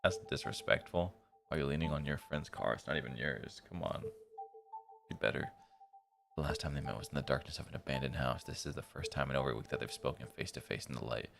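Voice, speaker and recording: Tired chill guy streaming a visual novel, super low voice, slangy asides, music behind him. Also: fast, creaky. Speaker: game streamer. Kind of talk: live stream reading and casual commentary on a visual novel.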